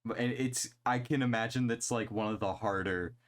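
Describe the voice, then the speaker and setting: A man's voice: mid-range voice, fairly even intonation, moderate pace. A young man co-hosting a casual podcast, talking loosely, swearing freely and laughing easily. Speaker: podcast co-host. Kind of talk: casual chat.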